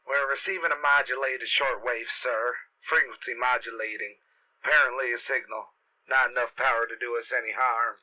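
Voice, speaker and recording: Through a band-limited intercom filter comes a dutiful spaceship crewman. His voice is very sharp, giving flat military reports in radio drama style. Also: medium pitch, normal speed, lo-fi sound. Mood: businesslike. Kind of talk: sci-fi radio drama acting.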